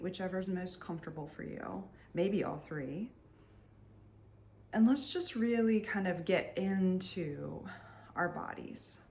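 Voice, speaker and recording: A woman leading a guided meditation in a soft, soothing voice, leaving long pauses and sometimes trailing near a whisper. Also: on the low side, slight background noise. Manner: soothing, unhurried, with long pauses between phrases. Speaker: meditation guide and energy healer.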